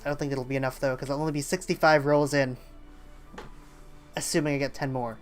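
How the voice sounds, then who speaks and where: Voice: mid-range voice, fairly even intonation, fairly quick, faint music behind. A game streamer chatting casually on a livestream, hesitant and rambling while thinking aloud. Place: indoors at a streaming setup.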